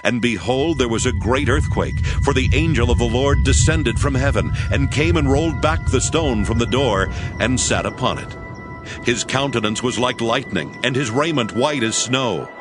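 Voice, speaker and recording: A man narrating a dramatized Bible reading in a solemn, measured storytelling manner. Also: medium pitch, lively intonation, music in the background.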